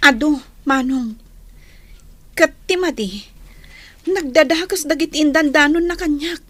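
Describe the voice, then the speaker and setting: Casting: loyal kid sister in radio drama, high voice, Ilocano accent, concerned and earnest. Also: female voice, unhurried, a bit bright, no room sound. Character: worried, loyal younger sister.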